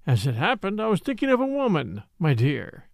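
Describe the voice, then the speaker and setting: A woman voicing a bitter, sardonic English gentleman with a clipped, dry, mocking manner, in a storybook reading. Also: fairly low pitch, wildly swooping pitch, normal speed, vocal fry, no echo, full character voice.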